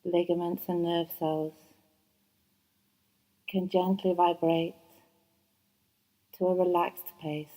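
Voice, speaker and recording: A woman teaching yoga nidra, guiding a relaxation meditation in a soft, soothing voice, slow and unhurried with long pauses. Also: fairly low pitch, flat delivery, poor audio. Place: yoga training course.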